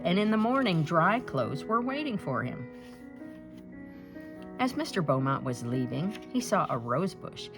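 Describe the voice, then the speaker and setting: Woman, children's storyteller, lowish voice, highly animated, dramatic read-aloud, music behind. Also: creaky.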